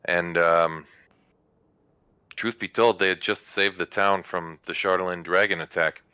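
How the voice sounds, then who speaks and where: A man voicing a hospitable, harried small-town mother in a tabletop game, candid, with halting, conversational phrasing. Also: deep voice, fairly even intonation, sharp tone, clean tone, no echo, lo-fi sound. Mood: candid, somber.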